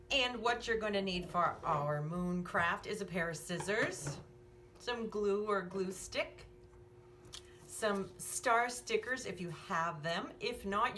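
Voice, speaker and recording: A woman presents a children's storytime in a sing-song, lively voice that rises and falls widely. She takes her time and speaks straight to young listeners. The recording is roomy and echoey, picked up some way from the mic. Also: lowish voice. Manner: sing-song, simple questions addressed to small children. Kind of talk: read-aloud storytime and craft lesson for young children. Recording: echoey room, distant mic, faint noise.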